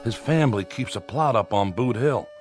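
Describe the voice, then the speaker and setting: A man's voice: medium pitch, expressive, moderate pace, faint music behind, natural voice. An actor playing an earnest, folksy Old West man organizing a posse, in a radio drama with an American Western drawl. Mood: urgent, earnest. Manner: folksy, insistent.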